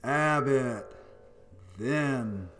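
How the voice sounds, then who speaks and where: A man's voice: medium pitch, drawn-out words, fairly bright tone. A mournful spirit of a departed husband, voiced by a man in an old-time radio drama. He intones each word at a crawl, hollow and otherworldly. Music hangs behind him throughout. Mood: eerie solemn. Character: ghost of a dead husband, solemn, otherworldly. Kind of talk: 1940s radio drama acting.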